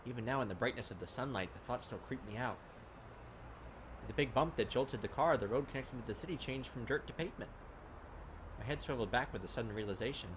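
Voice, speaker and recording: A man's voice: mid-range voice, fast, background noise. A man hosting a let's play, reading visual novel narration aloud with casual commentary. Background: game music.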